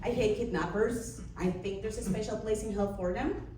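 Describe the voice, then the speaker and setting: A woman from Costa Rica with a Costa Rican Spanish accent, performing a wry, deadpan stand-up set in a comedy club. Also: mid-range voice, moderate pace. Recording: far-off echoey room with background noise. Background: audience laughter and ambience.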